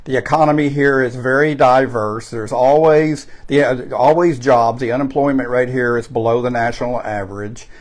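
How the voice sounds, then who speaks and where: Texan guy doing a folksy sales pitch, lots of uhs and restarts, medium pitch, talks straight to you. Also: fairly even intonation, normal speed, hardly any pauses. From Texas. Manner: folksy and chatty, with frequent fillers and self-corrections. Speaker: real estate investment promoter. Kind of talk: direct-to-camera sales pitch.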